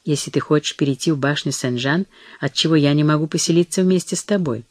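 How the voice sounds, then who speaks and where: A reader playing a proud young wife who speaks with pleading indignation in a storybook reading. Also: female voice, low voice, fast talker, darkish tone, dry recording, studio quality.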